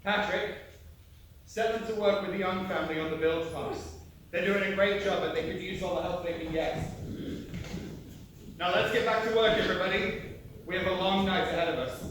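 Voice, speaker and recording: A man's voice: high-pitched, fairly even intonation, normal speed, pauses often, close to an everyday voice. A man playing a commanding, urgent ship's officer in a theatrical stage drama. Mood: firm. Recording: echoey theatre stage from far off, faint noise.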